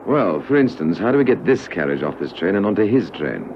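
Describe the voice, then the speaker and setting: An assured, guarded man in a radio spy thriller. His voice is lowish and animated, with a smooth, officious air. The recording is dull with faint noise. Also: brisk. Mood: calm, assured. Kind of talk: classic radio drama acting.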